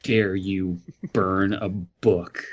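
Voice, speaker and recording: A man co-hosting a podcast in casual, joking chat, halting at times with deadpan quips, on slightly lo-fi audio. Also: low-pitched. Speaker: podcast co-host.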